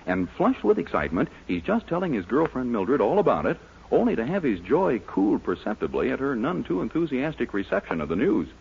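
A man's voice: mid-range voice, big pitch swings, brisk, vocal fry, breathy edge. A man announcing and narrating a 1940s radio show in a showy, polished, emphatic scripted style. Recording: dull, lo-fi 1940s radio recording.

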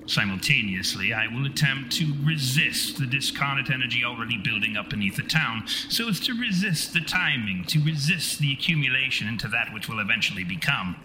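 A man's voice: medium pitch, animated, brisk, few pauses, sharp tone, faint music behind. A man voicing the vengeful ghost of a conceited, long-winded parapsychologist in a tabletop horror game, pompous and theatrical in formal speech. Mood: ominous. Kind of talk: tabletop horror role-play. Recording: reverberant hall sound, noisy ambience behind.

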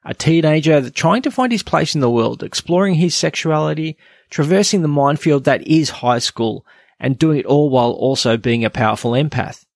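Creaky-voiced Australian man hosting a podcast. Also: a little high, animated, moderate pace, dry recording, clean studio sound. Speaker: podcast host. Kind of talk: scripted review narration.

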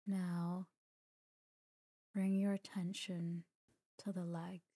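A woman leading a guided sleep meditation in a soft, breathy voice, calm and gentle, with long pauses between short phrases. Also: lowish voice. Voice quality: soft, breathy, near-whisper at times. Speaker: meditation guide, podcast host. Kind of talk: guided sleep meditation, slow scripted narration.

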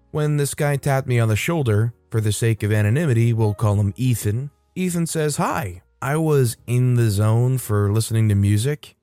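Male story narrator, mid pitch, even scripted read, music bed. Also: dry recording. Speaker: channel narrator. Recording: faint music under voice.

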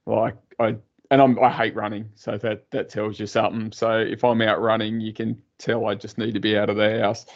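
A man's voice: fairly low pitch, flat delivery, muffled recording. An Australian man hosting a podcast interview, chatting casually and teasing his guest, with frequent restarts. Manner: joking, teasing, halting restarts. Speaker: podcast host.

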